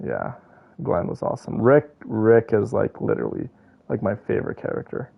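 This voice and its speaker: Creaky-voiced man chatting on a stream. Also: lowish voice, wildly swooping pitch, normal speed, narrow, tinny audio. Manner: rambling, opinionated, slangy. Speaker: game streamer. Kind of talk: casual chat with viewers while playing.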